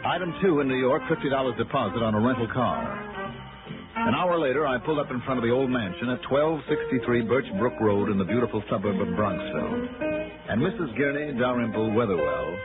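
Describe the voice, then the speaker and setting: A wry male investigator, played by an actor from vintage radio noir, with a mid-pitched, very lively voice. He is brisk and persistent, in dialogue or first-person narration. The thin broadcast sound carries clear music behind it. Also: narrow, tinny audio, natural voice. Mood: wry narrating.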